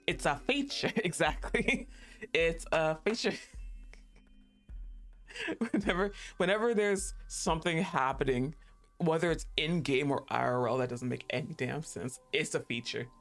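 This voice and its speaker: A woman's voice: low-pitched, unhurried, faint music behind. A young woman streaming, chatting casually and rambling, laughing often and swearing offhandedly.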